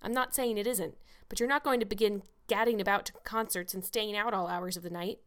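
A woman voicing a stern, sharp-tongued older spinster who scolds a child. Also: medium pitch, steady tone, fairly quick, close to an everyday voice. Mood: scolding. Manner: curt, sarcastic, scolding.

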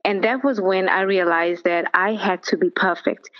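A middle-aged woman, telling her story in an earnest, steady way as an interview guest. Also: medium pitch, normal speed, highs cut off. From South Africa. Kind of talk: personal testimony in an interview.

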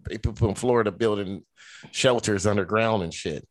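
Blunt, argumentative low-voiced man. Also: moderate pace, dry recording. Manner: blunt, argumentative, swears casually. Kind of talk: casual podcast chat.

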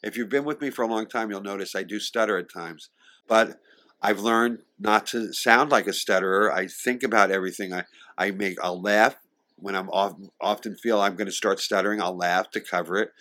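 A man's voice: lowish voice, moderate pace, bright, forceful tone. A man in his sixties, an interview guest who sings and acts, reminiscing with occasional stutters and restarts and acting out the voices of people he quotes. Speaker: singer and actor, interview guest.

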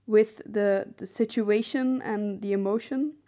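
A woman podcast co-host in a casual discussion of film. She talks analytically at a medium pitch, with hesitant pauses as she searches for the right phrase. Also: steady tone, warm tone.

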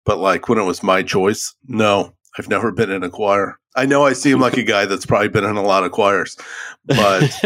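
Man with a deep baritone. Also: normal speed, fairly bright tone. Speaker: podcast co-host, coach. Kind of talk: casual podcast chat.

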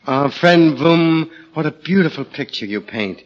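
A man's voice: a little high, lively intonation, a little slow. An actor playing a lazy, whimsical henpecked man in an old-time radio drama, drawling drolly and playing with words. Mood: eager, wry. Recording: dull vintage radio recording, light noise.

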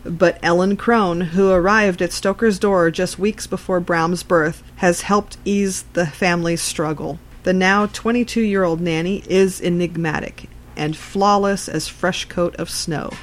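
A woman's voice: fairly low pitch, steady tone, measured pace, hardly any pauses. A woman narrating a written article in a scripted reading style.

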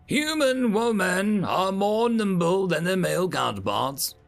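Calm alien academy instructor, played by a male narrator, raised pitch, didactic and measured, sci-fi audiobook dialogue. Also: vocal fry, soft background music, full character voice. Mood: calm lecturing. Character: calm, lecturing alien military educator addressing cadets.

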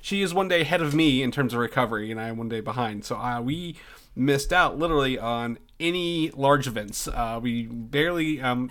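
A man's voice: medium pitch, fairly bright tone. A man co-hosting a casual podcast, congested from the flu, rambling with lots of fillers and restarts. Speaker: podcast co-host.

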